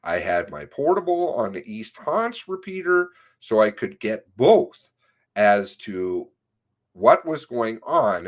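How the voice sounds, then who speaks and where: A man reading an interview transcript aloud in a halting way, sometimes breaking off with asides of his own. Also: mid-range voice, fairly bright tone. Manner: halting, often breaking off to comment on the text.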